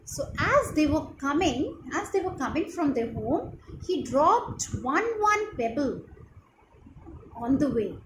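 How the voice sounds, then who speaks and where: A woman's voice: sits high, big pitch swings, takes it slowly, fairly bright tone. A young woman with an Indian accent retells a story for children in simple phrases, often checking in with questions. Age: young adult. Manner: simple explanatory phrasing with frequent questions to listeners. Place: small living room indoors, on a sofa. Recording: roomy echo, clearly noisy home phone recording.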